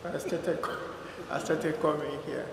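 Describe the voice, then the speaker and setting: A man with a Nigerian accent giving an unhurried, conversational reflection at a church service, with fillers as he remembers. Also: fairly high pitch, steady tone, gravelly. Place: large church hall. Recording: echoey church hall, faint noise.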